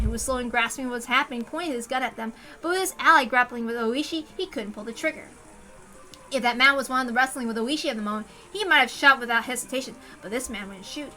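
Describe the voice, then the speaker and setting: A woman's voice: a little high, sharp tone. A woman reading a first-person story aloud as a dramatic narration. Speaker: visual novel reader.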